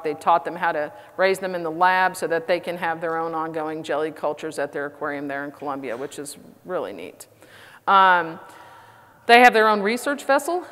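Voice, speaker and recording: Middle-aged American woman, conference speaker, lowish voice, casual and upbeat, long hall reverb. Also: a bit bright. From United States. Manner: casual, enthusiastic presenting with fillers. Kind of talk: conference presentation.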